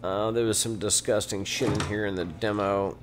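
A lowish, hoarse male voice with a Texan accent rambles his way through a horror game, half talking to viewers, half muttering to himself. He gets jumpy and curses when startled. Faint eerie game ambience sits under the voice. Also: steady tone, normal speed, slight background noise. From Texas. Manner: chatty, nervous, mutters and swears at scares. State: hoarse from allergies. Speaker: let's play gamer.

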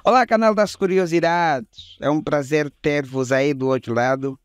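A man's voice: a little high, normal speed, smooth, dry recording. Formal young Angolan man, dry sound. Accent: Angolan Portuguese. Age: young adult. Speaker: guest contributor. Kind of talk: prepared greeting to camera.

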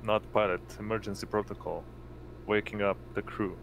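A man narrating a tabletop roleplaying game as game master, halting as he searches for words. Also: on the low side, steady tone, creaky, slight background noise. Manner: halting, often pausing to find words.

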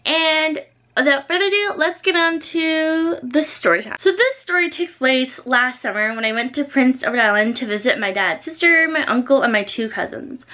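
Young woman, casual storytime vlog, fairly high pitch, very bright forceful tone, emphatic delivery. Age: young adult. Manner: animated, emphatic storytelling with frequent "like". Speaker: vlogger. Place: small bedroom indoors.

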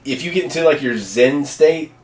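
A man's voice: fairly high pitch, animated, moderate pace. A man cracking jokes and giving blunt advice in casual chat among friends, with the odd swear. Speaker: co-host. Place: small home den, indoors. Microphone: far camera mic. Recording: echoey room from far off.

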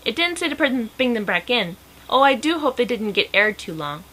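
An innocent, cheerful housemaid from a children's storybook, acted out by a woman. She is eager to please and takes everything literally. Her voice sits fairly high and sounds bright and earnest. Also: very animated, a little fast, poor audio.

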